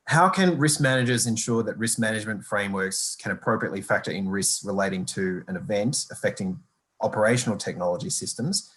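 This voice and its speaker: Male panel moderator, low voice, measured question delivery. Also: fairly bright tone. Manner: measured, reads long questions. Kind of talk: webinar moderation.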